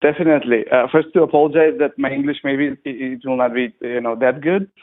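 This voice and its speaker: A man speaks at an ordinary middle pitch, pausing and restarting as he hunts for the right word. He is a guest chatting over a call. The sound is thin and tinny, like a phone line. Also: steady tone. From North Macedonia. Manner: hesitant, searching for words with many restarts. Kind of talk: casual interview over a call.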